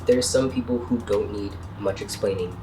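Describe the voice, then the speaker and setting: A young man speaks low and earnestly in his twenties, his voice thick and congested from a cold. He sits a little back from the mic, and soft music plays under him. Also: fairly even intonation, moderate pace, recorded from a distance, rough recording. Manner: earnest, heartfelt, reflective. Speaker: vlogger. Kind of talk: personal vlog talk to camera.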